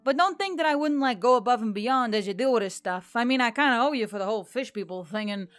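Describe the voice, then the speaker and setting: A woman's voice: a little high, lively intonation, fast, clear, resonant tone, no echo, lightly acted. A woman voicing a devoted female centaur friend with a chatty, rambling manner, in an audiobook story. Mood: nervous rambling.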